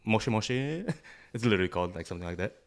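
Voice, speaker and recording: American dude w a kinda low voice chatting on a podcast, slangy, says stuff twice. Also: male voice, lively intonation, very fast. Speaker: podcast co-host. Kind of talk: casual chat between friends.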